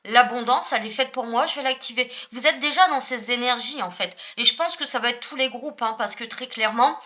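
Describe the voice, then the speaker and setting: Brief: French woman, casual card reading, bright higher voice, rambling with fillers. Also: a little fast. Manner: rambling, self-correcting, many fillers. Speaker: spiritual tarot reader.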